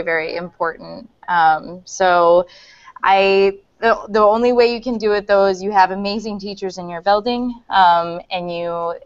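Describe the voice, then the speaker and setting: A woman's voice: on the low side, clear, resonant tone, no room sound. A woman school leader speaking earnestly and with feeling in a panel chat, with some restarts. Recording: dull lo-fi sound.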